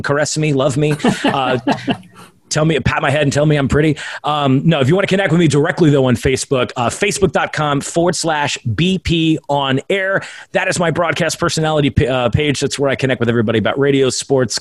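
A young man who works in radio talks as a podcast guest, rambling happily with jokey, self-deprecating asides. His voice sits at an ordinary pitch for a man and is recorded dry and close, with no room sound. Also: no echo. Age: young adult. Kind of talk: casual podcast interview chat.

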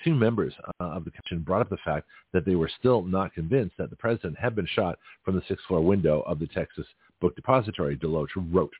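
A man hosting a talk radio show, chatting and rambling with a gravelly, slightly hoarse voice. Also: low-pitched, fast talker, no room sound. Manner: rambling, chatty, self-interrupting. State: slightly hoarse, has a cold. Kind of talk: casual talk radio monologue. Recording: dry, phone-like narrow sound.

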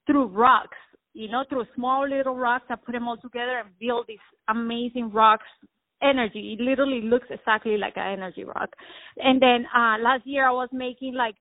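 A woman from Colombia chats freely as a guest on a phone interview, her stories tumbling out, peppered with "like." Her voice is on the higher side. The line is tinny and phone-like, dry with no room sound. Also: dry recording. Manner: chatty, fast-flowing, many "like"s. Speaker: guest artist. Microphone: telephone.

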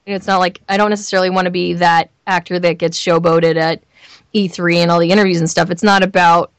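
A woman with a Southern California accent talking candidly in an interview as a voice actor, emphatic and expressive in how she tells things. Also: fairly low pitch, no echo. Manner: animated storyteller, emphatic repetition, acts out imagined lines. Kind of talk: candid, chatty interview talk.

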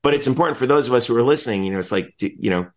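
A man's voice: medium pitch, racing. A middle-aged man talking casually as an interview guest, explaining in a rambling way and often interrupting himself mid-thought. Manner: rambling, animated explaining with frequent self-interruptions. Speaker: interview guest, announcer and teacher.

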